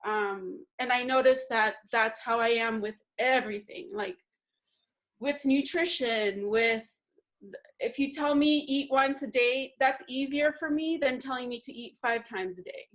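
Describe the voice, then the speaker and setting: A woman's voice: fairly high pitch, measured pace, fairly bright tone. A woman from Guatemala telling a group her personal story in an earnest way. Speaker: workshop participant.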